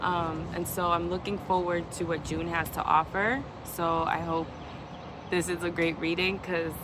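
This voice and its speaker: Slow, chatty woman outdoors by traffic. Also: fairly low pitch, little pitch movement. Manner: chatty, meandering, candid. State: slightly hoarse, recovering from lost voice. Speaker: tarot reader. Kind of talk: casual unedited monthly tarot reading. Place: outdoors in a city, traffic nearby.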